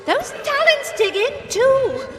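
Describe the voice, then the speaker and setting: A woman's voice: very high voice, big pitch swings, slow pace, exaggerated voice. A woman playing a hearty, enthusiastic upper-class dragon breeder in a live radio comedy drama, with plummy, gushing speech and jolly old-fashioned exclamations. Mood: hearty enthusiasm. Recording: live stage, long reverb, music behind.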